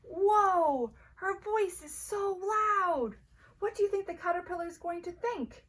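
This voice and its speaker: A woman's voice: extremely high pitch, a little slow, a bit bright. A woman teacher reading a children's story aloud expressively and asking young listeners questions.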